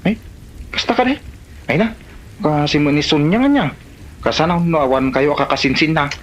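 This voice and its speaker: A man's voice: a little high, moderate pace, fairly bright tone. A man acting in an Ilocano comedy drama as a devoted, persistent suitor, with a Filipino Ilocano accent. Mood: concerned.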